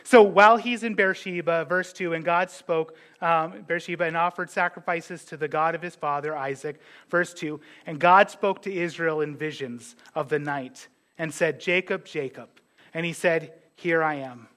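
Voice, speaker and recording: A man's voice: a little high, normal speed, fairly bright tone. A man preaching a sermon in a conversational way, mixing scripture with jokes and asides. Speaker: pastor.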